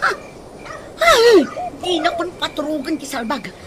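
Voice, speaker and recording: A woman's voice: high-pitched, very animated, a little slow, background noise. A woman acting a nagging, exclamatory wife in an Ilocano Filipino radio comedy drama. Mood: exasperated shouting. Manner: scolding, exclamatory. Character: loud nagging wife scolding her sleepy husband.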